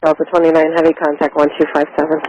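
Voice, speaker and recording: A man working as an airport tower controller, giving brisk, clipped radio instructions. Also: on the high side, monotone, darkish tone, highs cut off, slight background noise. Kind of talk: radio phraseology.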